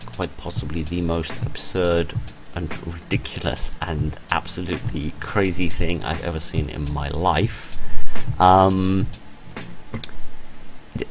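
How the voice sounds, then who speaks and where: A man's voice: sits low, creaky, rough recording. A British man hosting a game playthrough, reading story text aloud theatrically and reacting with incredulous, hesitant asides. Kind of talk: gaming commentary, reading visual novel text aloud with ad-libbed reactions. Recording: music under the voice. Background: background music.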